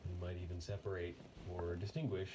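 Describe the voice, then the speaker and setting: A man leading an unscripted guided body meditation in a gentle, unhurried manner with long pauses. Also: low-pitched, little pitch movement, noisy background.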